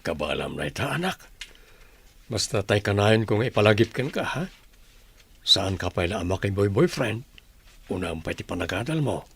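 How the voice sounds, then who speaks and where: A man's voice: on the low side, very animated, moderate pace, creaky, pauses often, slightly rough, full character voice. A man playing a caring, hardworking father in a family audio drama, advising in a solicitous paternal way. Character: caring, hardworking father, gently advising his grown children.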